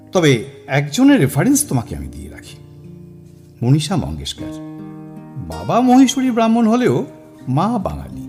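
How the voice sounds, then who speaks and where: An actor playing a worldly older mentor in a Bengali radio drama, speaking with a Bengali accent and a slow, dramatic delivery. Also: male voice, sits high, dark tone, exaggerated voice. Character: worldly older man, storyteller, mysterious. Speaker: older male mentor figure called Miska.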